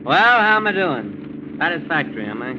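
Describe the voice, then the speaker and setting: Spoiled rich young guy, tipsy, easily rattled, very animated, old 40s radio show, hissy and dull. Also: fairly high pitch, normal speed. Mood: eager, tipsy. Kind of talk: 1940s radio acting. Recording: muffled, noisy 1940s radio recording.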